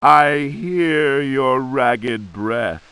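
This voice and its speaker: An actor playing a gloating, menacing undead evil spirit in a horror video game, with a grandiose, drawn-out, taunting delivery. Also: male voice, medium pitch, fairly bright tone, smooth. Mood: gloating menace.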